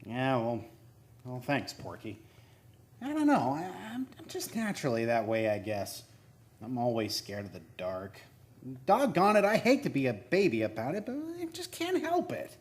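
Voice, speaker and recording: A man's voice: mid-range voice, huge pitch swings, moderate pace. An American man playing a skinny, nervous, sheepish oil field geologist in a radio play, speaking hesitantly with stammers.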